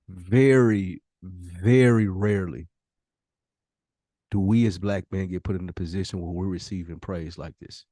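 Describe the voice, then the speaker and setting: A man's voice: deep voice, dark tone, no echo, studio quality. A man co-hosting a podcast in casual conversation, with an informal, slangy way of talking. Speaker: podcast co-host.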